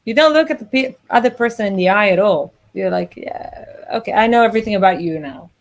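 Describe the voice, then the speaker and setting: A woman from Israel hosting an interview podcast, chatty and animated, laughing easily. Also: mid-range voice, fast talker. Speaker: podcast host. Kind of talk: casual interview chat.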